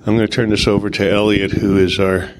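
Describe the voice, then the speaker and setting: A man with a fairly low voice chairs a committee meeting. He talks in a casual, self-deprecating way, in a plain meeting room. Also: flat delivery, quick pace, poor audio. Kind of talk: support group meeting talk.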